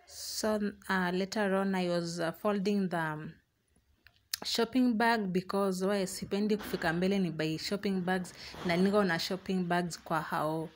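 A woman's voice: lowish voice, steady tone, a little slow, slight background noise. A young woman with a Kenyan accent talking casually to her viewers in a home vlog, slipping Swahili phrases into her English. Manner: chatty and warm, mixing in Swahili phrases. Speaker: vlogger.

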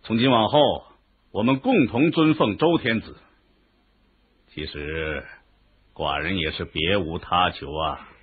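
An actor playing a commanding, gracious ruler of an ancient Chinese state in a historical radio drama, speaking in a stately, measured way. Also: male voice, low-pitched, slightly rough. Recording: dull, lo-fi radio drama recording.

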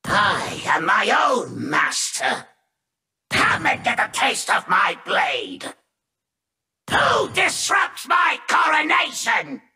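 Shrill pompous robot traitor villain, loud n swoopy, video game, echo on it. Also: male voice, huge pitch swings, takes it slowly, soft background music, full character voice. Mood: haughty, commanding. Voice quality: shrill, piercing. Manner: pompous, theatrical, declaiming. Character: arrogant, power-hungry male robot villain, treacherous schemer. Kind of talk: video game character lines.

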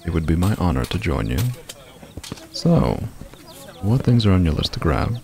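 A man's voice: low voice, very animated, normal speed, vocal fry, a crowd in the background, full character voice. A man playing a suave, polite, charming traveling stranger in an audio roleplay. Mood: courteous, charming. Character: smooth, courteous, charming male stranger passing through.

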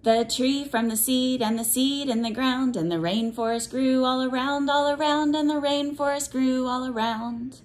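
A woman reading a picture book to young children and explaining it in simple words, with a sing-song, measured cadence. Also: high voice, soft background music. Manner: simple, instructive phrasing aimed at young children. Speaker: read-aloud teacher for young children.

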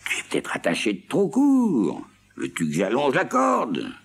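A man's voice: mid-range voice, huge pitch swings, unhurried, creaky, breathy edge, voice pushed up, full character voice. A man voicing an anxious older farmer, fussy and fond of his goat, exclaiming and fretful. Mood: pleading.

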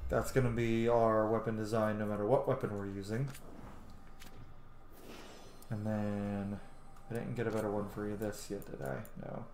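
A man's voice: lowish voice, flat delivery, moderate pace, pauses often, a little mellow. A man in his thirties thinking aloud in casual commentary while he plays a video game. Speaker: gaming streamer. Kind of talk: casual let's-play commentary. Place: indoor room at home. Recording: roomy sound with faint background noise.

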